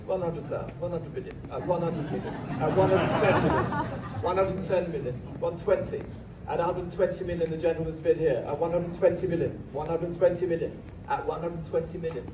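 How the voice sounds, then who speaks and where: A man working as an auctioneer, calling bids in a steady, rhythmic way in a large packed auction hall, recorded from the audience. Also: a little high, a loud crowd, heavy background noise. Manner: rhythmic, measured bid-calling. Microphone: podium mic, filmed by phone from the audience. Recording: distant phone recording in echoey hall. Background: room ambience.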